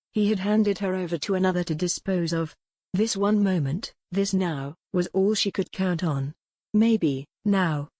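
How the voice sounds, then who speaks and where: A woman narrating a dramatic romance audiobook in a measured, solemn storytelling manner. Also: fairly low pitch, a little mellow, no echo.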